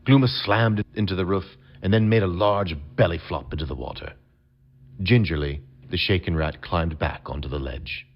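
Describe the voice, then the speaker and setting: A man with a very low voice narrates a fantasy audio adventure game. He tells it in a measured, dramatic storybook style. The recording sounds slightly dull and muffled.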